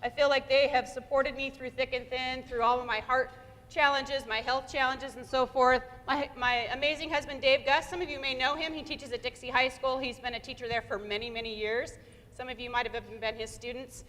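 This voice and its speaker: A woman's voice: high-pitched, fairly even intonation, fairly quick, fairly bright tone. A woman in her forties giving an upbeat motivational talk at a luncheon, often restarting her phrases. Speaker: guest speaker. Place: banquet hall. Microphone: lapel mic. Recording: echoey hall.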